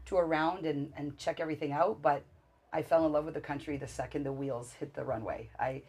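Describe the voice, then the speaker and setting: Casting: forties woman, low husky voice, eager chatty interview guest. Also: fairly even intonation, brisk. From Canada. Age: 40s. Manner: warm, enthusiastic storytelling with many fillers. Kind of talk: casual interview answers.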